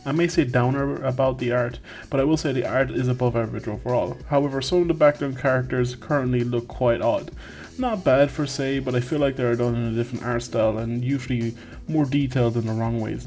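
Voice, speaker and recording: A man's voice: mid-range voice, steady tone, brisk, darkish tone, faint music behind. A man reading a scripted video game review in a wry, tongue-in-cheek way.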